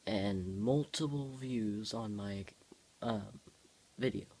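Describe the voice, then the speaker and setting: A male host reading his own homemade comics in a casual story-time vlog, halting, informal and self-deprecating, recorded on a handheld phone. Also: lowish voice, darkish tone, husky.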